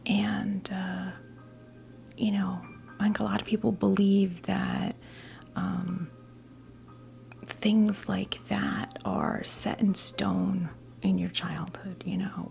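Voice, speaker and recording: An American woman in her fifties giving a tarot reading as ASMR role play, whispering softly in a halting, gently reassuring way. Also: mid-range voice. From New Jersey, US. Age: 50s. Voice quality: whispered, breathy soft voice. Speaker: ASMR performer playing a tarot reader.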